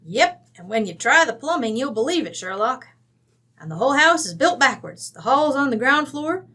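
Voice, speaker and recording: A woman's voice: sits high, big pitch swings, normal speed, sharp tone, heavily acted. A woman playing a brash, folksy, middle-aged rancher widow with an American West accent, blunt, bossy and slangy, in an old-time radio drama. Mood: breezy, blunt.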